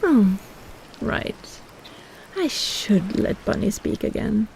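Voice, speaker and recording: Girl doing a possessive kraken lady, real slow and mellow, teasing n doting, asmr audio roleplay. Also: medium pitch, big pitch swings, gravelly, a little hiss or hum, full character voice. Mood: coaxing, possessive. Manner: teasing, cooing, doting, bossy. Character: possessive, teasing, overpowering female kraken, big-sister type.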